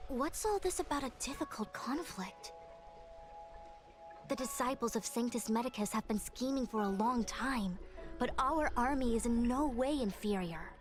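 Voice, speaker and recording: A woman's voice: a little high, natural voice. An actress playing a poised, proud woman diviner and commander in a video game, speaking formally and precisely. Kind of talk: video game dialogue acting.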